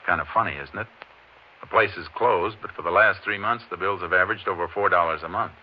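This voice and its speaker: An actor playing a calm, laconic Texas Ranger lawman in a 1950s radio western, speaking tersely. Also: low-pitched, fast talker. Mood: polite, probing. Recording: noisy, muffled old 1950s radio recording.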